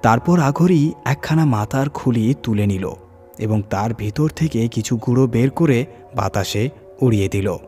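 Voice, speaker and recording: A man reading a scripted horror story dramatically, with suspenseful pauses and emphasis. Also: mid-range voice, lively intonation, dark tone, soft background music. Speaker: horror story reader and channel host.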